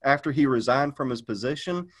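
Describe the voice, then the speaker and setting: A man's voice: medium pitch, fairly quick. A man chatting casually on a podcast, rambling with lots of fillers and restarts. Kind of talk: casual chat.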